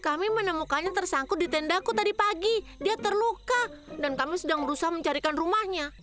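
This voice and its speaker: A woman's voice: extremely high pitch, expressive, fast, music in the background. A woman playing a timid, earnest small boy junior ranger in a children's cartoon.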